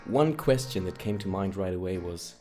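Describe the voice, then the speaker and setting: Lowish non-native english guy hosting a chill podcast interview, guitar music behind. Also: animated, normal speed. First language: not English. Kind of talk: casual interview chat. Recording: clear guitar music under the voice.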